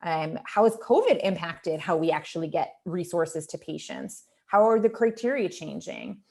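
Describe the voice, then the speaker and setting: Woman doctor, Lithuanian first language, slightly low voice, earnest, self-correcting, video call audio. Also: expressive, normal speed, creaky, a little mellow. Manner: earnest, strings questions together with restarts. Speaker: emergency physician presenting for a hospital team. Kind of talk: panel presentation on a video call.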